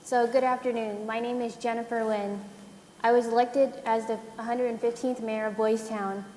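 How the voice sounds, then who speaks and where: A teenage girl gives a prepared speech in an earnest, read-out way. Her voice is fairly high yet mellow and flat in pitch. The sound is roomy, with a long hall reverb and faint hiss. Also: normal speed. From United States. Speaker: student mayor, panelist. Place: conference hall. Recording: echoey conference hall.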